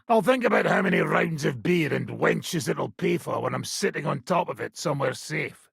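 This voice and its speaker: Gravelly, raspy old mercenary captain. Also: male voice, mid-range voice, a bit bright, no echo, clean studio sound, heavily acted. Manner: blunt, sardonic, commanding, swearing. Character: gruff, foul-mouthed ageing medieval mercenary band leader. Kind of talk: video game voice acting.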